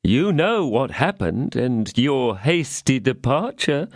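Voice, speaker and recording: A man's voice: medium pitch, big pitch swings, slow pace, no echo, clean studio sound, pitched above the performer's own voice, full character voice. A man voicing a frightened, fawning innkeeper who pleads in a lamenting tone. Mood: pleading. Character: trembling fawning middle-aged male innkeeper.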